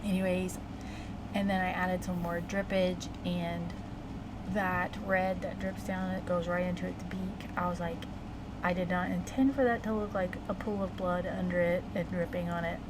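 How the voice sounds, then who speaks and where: A soft, hoarse woman with a sore throat talks close to the mic in a low-ish voice, chatty and self-deprecating as she walks through her painting. A loud air conditioner hums steadily behind her in a home room. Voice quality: soft and quiet. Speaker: watercolor painting vlogger. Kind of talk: casual voice-over narrating her painting. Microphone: close microphone. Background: air conditioner hum.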